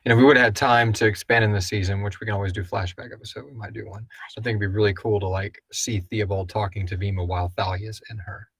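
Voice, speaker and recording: A man with a fairly low voice, recorded close and dry. He hosts a casual live Q&A in a loose, rambling style, joking irreverently and dropping "like" into his sentences. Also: fairly even intonation, fast, hardly any pauses, a bit bright, slightly rough, no room sound, low-quality recording. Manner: rambling, frequent "like". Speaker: host and writer of a horror audio drama.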